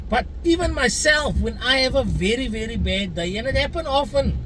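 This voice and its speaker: Man, Afrikaans-first South African accent, earnest vlog rant, high voice, road noise behind. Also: animated, moderate pace. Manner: earnest, chatty, addresses viewers as guys. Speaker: vlogger. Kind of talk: casual opinion rant to camera.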